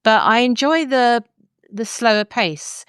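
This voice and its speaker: A woman's voice: on the high side, lively intonation, slow, clear, resonant tone, no echo, clean studio sound. A woman hosting a podcast in a conversational presenting style. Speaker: podcast host.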